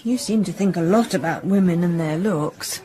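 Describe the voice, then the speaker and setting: An actress playing a frank, curious young English hairdresser in a radio drama, with an English accent and a matter-of-fact manner. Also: female voice, on the low side, moderate pace, dark tone, natural voice. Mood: polite, curious.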